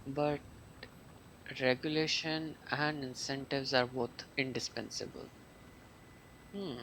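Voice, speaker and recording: A young adult man giving casual live gameplay commentary as a streamer, halting and musing aloud. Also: mid-range voice, fairly even intonation, a little hiss or hum, poor audio. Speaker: game streamer. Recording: loud game music behind the voice. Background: video game music.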